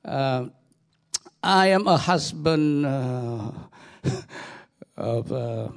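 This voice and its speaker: A middle-aged man from Indonesia with an Indonesian accent, speaking English as a guest pastor in church, pausing often with fillers and repeated words. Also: mid-range voice, lively intonation. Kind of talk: sermon introduction.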